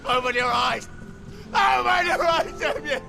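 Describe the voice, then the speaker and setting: Gravelly, anguished young warrior. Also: male voice, deep voice, animated, full character voice, rough recording. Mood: desperate anguish. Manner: intense, halting, emotionally raw. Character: anguished, defiant young warrior, devoted elder brother. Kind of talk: dramatic video game voice acting. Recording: loud music behind the voice.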